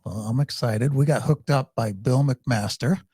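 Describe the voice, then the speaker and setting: A man's voice: medium pitch, measured pace, a little mellow, husky, dry recording, clean studio sound. A man hosting a podcast, chatting in a friendly way and asking his guest about her life. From Michigan. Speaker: podcast host. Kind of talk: casual interview.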